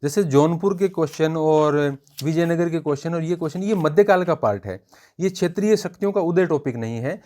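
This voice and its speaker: A man's voice: on the high side, fairly quick, darkish tone. A man giving an informal educational review, explaining point by point and often repeating himself. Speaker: exam-prep book reviewer.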